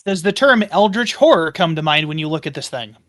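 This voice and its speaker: A man's voice: on the high side, expressive, a little fast, fairly bright tone. A man playing a tabletop game, speaking casually in character with wordy, wry phrasing.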